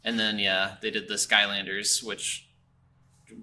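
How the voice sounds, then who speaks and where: A man's voice: on the low side, little pitch movement, unhurried, sharp tone, a little hiss or hum. A man co-hosting a gaming podcast, talking casually and informally with slang and some swearing. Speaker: podcast co-host.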